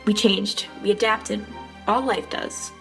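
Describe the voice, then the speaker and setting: A woman voicing an earnest, determined robot heroine in an amateur comic dub, narrating in a reflective, measured way. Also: medium pitch, fairly even intonation. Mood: solemn narration. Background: clear music bed.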